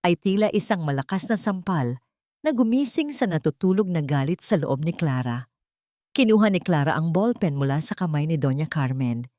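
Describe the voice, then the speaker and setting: A woman's voice: fairly low pitch, no echo, studio quality. A woman reading a scripted, dramatic story as its narrator.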